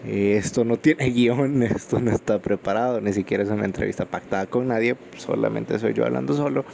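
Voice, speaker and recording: A man's voice: lowish voice, brisk, gravelly, darkish tone, a little hiss or hum. A Salvadoran man with a Salvadoran Spanish accent hosting an unscripted solo podcast, rambling with frequent fillers, recorded on a phone microphone. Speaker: podcast host.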